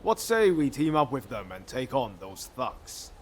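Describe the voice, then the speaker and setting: A man's voice: mid-range voice, very animated, measured pace, slight background noise. A man playing a cocky, easygoing samurai schemer in a video game, breezy and self-satisfied. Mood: eager. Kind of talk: video game cutscene acting.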